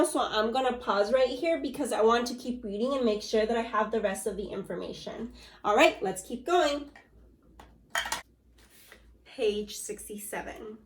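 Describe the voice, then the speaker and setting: A woman teacher reading a storybook aloud to young children, with animated call-and-response prompts. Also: fairly high pitch, reverberant. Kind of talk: read-aloud lesson for children.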